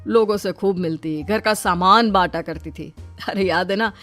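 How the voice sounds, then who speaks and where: A woman's voice: medium pitch, moderate pace, a bit bright, faint music behind, pitched below the performer's own voice, natural voice. Woman as a reproachful young cartoon husband. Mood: teasing. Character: puzzled, teasing young husband.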